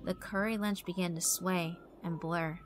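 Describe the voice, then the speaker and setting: A woman giving a measured, expressive, scripted narration of a horror story. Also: on the low side, slight background noise. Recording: background music under the voice.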